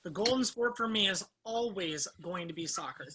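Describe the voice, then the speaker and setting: A woman's voice: mid-range voice, animated, normal speed, background noise, lightly acted. A woman in a play reading playing a foul-mouthed young American student, blunt and sarcastic with a teasing streak. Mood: bantering. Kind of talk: play reading, naturalistic comic banter.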